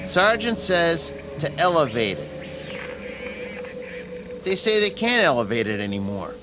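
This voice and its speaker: An insistent, high-voiced army radio operator. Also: male voice, very animated, unhurried, over music. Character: dutiful army radio operator relaying orders. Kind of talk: radio drama acting.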